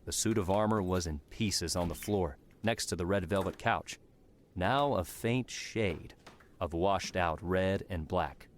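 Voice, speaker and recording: A man's voice: sits low, unhurried. A man narrating a scripted fantasy story as an audiobook narrator. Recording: clearly noisy background.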